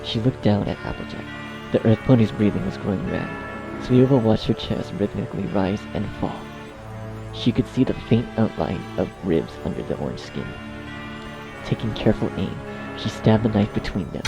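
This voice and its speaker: A man reads a scripted horror story as narrator. His voice is low with heavy creak, set over clearly audible music. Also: lively intonation, moderate pace, low-quality recording.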